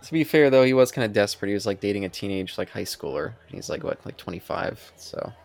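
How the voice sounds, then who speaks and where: A man's voice: on the low side, expressive, fast talker, gravelly, a little mellow. A man chatting casually about films as a podcast co-host, with a hesitant, rambling delivery.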